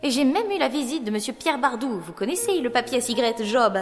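Woman acting high-voiced, coquettish young opera apprentice. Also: lively intonation, quick pace, close to an everyday voice. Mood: coy, confiding. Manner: coquettish, commanding, formal period speech. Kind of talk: French audio drama acting. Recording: long reverb, music behind.

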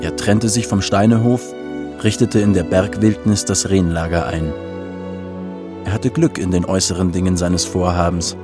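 Low-voiced man narrating over music. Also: fairly even intonation, normal speed. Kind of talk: scripted radio drama narration.

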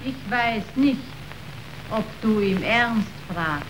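A woman's voice: on the high side, lively intonation, slow pace, slight background noise, low-quality recording. An actress playing an earnest young mission girl, direct and insistent, in a theatrical radio drama. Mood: doubtful, earnest. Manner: plain-spoken, earnest, direct. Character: earnest, insistent twenty-year-old idealist mission girl.

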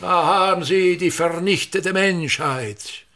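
A man's voice: high-pitched, takes it slowly, sharp tone, slight background noise, full character voice. An actor in a radio drama playing a pompous, domineering older theatre director and father who delivers grandiose, pedantic, repetitive monologues. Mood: imperious.

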